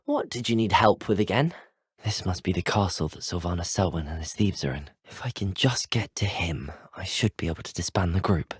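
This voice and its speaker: Polite, low-voiced British student wizard. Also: male voice, husky, dry recording, clean studio sound. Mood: polite, inquisitive. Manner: polite, well-spoken, measured. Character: polite, earnest teenage male student wizard, curious and helpful. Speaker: video game player character. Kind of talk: scripted game voice acting.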